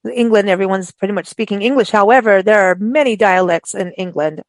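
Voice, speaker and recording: A woman hosting a radio interview, raised in Africa, who talks in a chatty, animated way with many restarts. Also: medium pitch, a little fast, no room sound. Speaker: radio show host. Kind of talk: casual interview chat.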